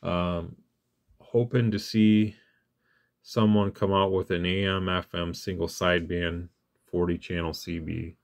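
A man's voice: deep voice, level tone, measured pace, vocal fry. A man hosting a casual hands-on radio gear demo, talking in a plainspoken, informal way. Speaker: radio review host. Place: indoors at an equipment bench.

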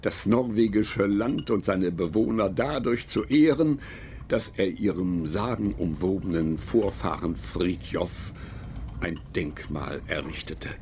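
Slow, solemn male narrator. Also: deep voice, a little mellow, slight background noise. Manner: solemn, formal. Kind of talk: scripted documentary narration.

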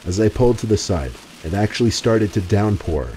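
A man narrating scripted true horror stories in a steady, even way. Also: fairly low pitch, fairly quick, slight background noise, poor audio. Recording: faint music under the voice.

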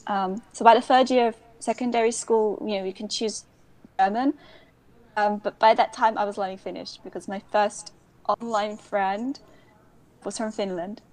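A young woman with a London accent talks casually as a podcast guest. Her voice sits at a middle pitch, and her telling wanders with ums and quick restarts. She is heard through a remote call mic. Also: fairly even intonation, a little mellow. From England. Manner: chatty, frequent ums and self-corrections. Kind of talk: casual conversational storytelling.

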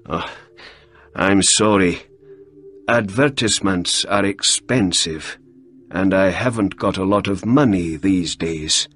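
A man doing a slow, careful old gentleman. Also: low voice. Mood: hesitant. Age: older.

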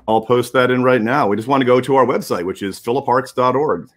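A man's voice: medium pitch, brisk. A middle-aged man, a livestream producer, talking casually on a video call from a room at home. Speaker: producer, co-host. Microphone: video call mic.

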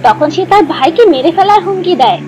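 A woman narrating a scripted children's story in a clear, instructive manner. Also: extremely high pitch, very animated, quick pace, darkish tone. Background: background music.

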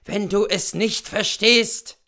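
A man voicing an irritable, ailing aristocratic lady, weary and bitter, in a sharp, reproachful manner from a story reading. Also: high voice, fairly even intonation, slow pace, voice pushed up, full character voice. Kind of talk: literary audiobook character reading.

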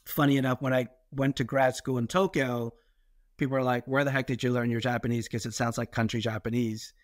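A man's voice: medium pitch, level tone, brisk, no room sound. Middle-aged American man, dry close sound. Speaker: podcast guest, investor. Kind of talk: conversational life-story interview.

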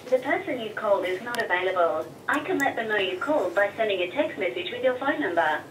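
A woman's voice: mid-range voice, expressive, fast talker. A woman reading a scripted voicemail announcement, played through a telephone speaker. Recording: noisy distant speakerphone in echoey office.

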